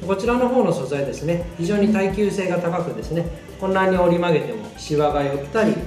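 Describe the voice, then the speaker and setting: A man's voice: high voice, lively intonation, darkish tone. A man in his forties explaining a product politely and conversationally, with careful, hesitant phrasing. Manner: polite, hesitant with frequent pauses and courteous fillers. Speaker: company representative presenting a product. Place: small bare meeting room, indoors. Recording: echoey room with background music. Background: faint music.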